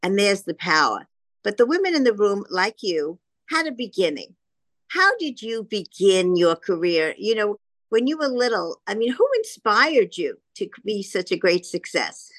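A woman's voice: mid-range voice, very animated, moderate pace, a bit bright, no echo. A woman from New hosting a podcast interview with gushing, effusive praise for her guest. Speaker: podcast host, publisher.

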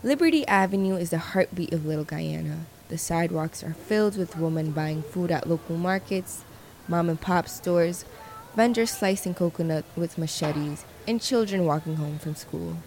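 A woman reporter reading scripted narration in a measured, descriptive way. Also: fairly low pitch, darkish tone, a little hiss or hum.

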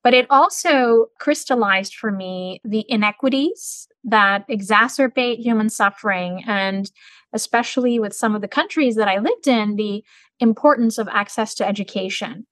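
A middle-aged woman from Turkey, a business school dean, answering interview questions in a measured, articulate, explanatory way. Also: medium pitch, fairly bright tone, no echo.

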